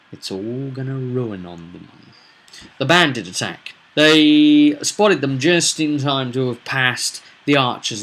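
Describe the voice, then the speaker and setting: Bright-voiced British man, halting and emphatic. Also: medium pitch, poor audio. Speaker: tabletop game master, vlog host. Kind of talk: casual explanatory vlog about a roleplaying session. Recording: noticeable background noise, whirring fan.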